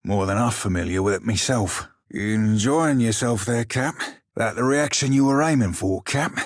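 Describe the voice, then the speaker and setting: An actor playing a small, roguish, salty pirate shiphunter in a video game, with a husky, rough voice and wry nautical slang. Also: male voice, on the low side, moderate pace, no echo, studio quality, natural voice. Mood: wry, teasing. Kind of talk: video game character voice lines.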